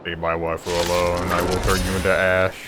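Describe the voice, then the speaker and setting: A man's voice: low voice, monotone, fairly quick, over loud music. A man giving casual, playful gameplay commentary, muttering reactions as he plays. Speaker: gameplay streamer.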